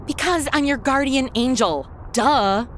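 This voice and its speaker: A woman's voice: sits high, measured pace, a little hiss or hum. A woman playing a sassy, flippant young guardian angel in a comedy audio drama, talking in blunt, sarcastic modern slang.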